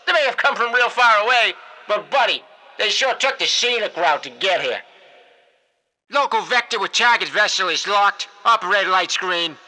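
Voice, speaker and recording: A man's voice: high voice, expressive, normal speed, pushed and bright, exaggerated voice, rough recording. A man playing a folksy, wisecracking older spaceship mechanic in a video game, with a colloquial, jocular delivery. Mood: wry, disappointed, then wry, musing. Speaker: mission briefer and equipment handler. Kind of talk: animated character voice-over for a video game.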